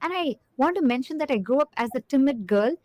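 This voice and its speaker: A woman's voice: sits high, animated, quick pace, a little mellow, dry recording, dull audio. A middle-aged woman from Chennai, earnestly recalling her childhood as an interview guest, with many fillers. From Chennai, India. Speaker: guest executive. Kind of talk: reflective interview answer.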